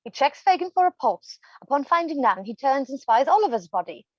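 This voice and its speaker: A woman's voice: high-pitched, very animated, a little fast, no room sound. A woman reading stage directions as scripted narration, on a slightly dull microphone.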